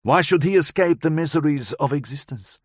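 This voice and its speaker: A man reading a storybook plays a hardened woman who speaks slightly broken English and relives old crimes with bitter intensity. Her voice sits higher than the narrator's and swings widely in pitch. It is recorded close and dry. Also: lively intonation, moderate pace, breathy edge, dry recording. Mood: grim storytelling. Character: hardened vengeful woman recounting her past.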